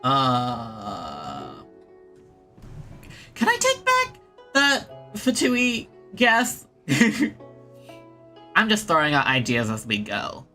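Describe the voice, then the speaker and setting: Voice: medium pitch, huge pitch swings, extremely slow, long pauses, pushed and bright. A streamer giving playful, casual game commentary and reading text aloud. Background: game music.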